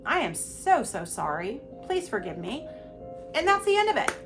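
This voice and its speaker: Woman host, middle-aged, stuffy from a cold, blunt and emphatic, over clear background music. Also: high-pitched, big pitch swings, moderate pace. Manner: candid, emphatic, repeats words for stress. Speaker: podcast host. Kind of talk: personal monologue on abuse recovery.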